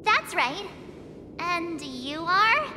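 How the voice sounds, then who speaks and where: A woman playing a cheerful, girlish novice swordswoman in an anime-style video game, perky and expressive. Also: extremely high pitch, very slow, slight background noise, full character voice. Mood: casual. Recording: long reverb, music behind. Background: music.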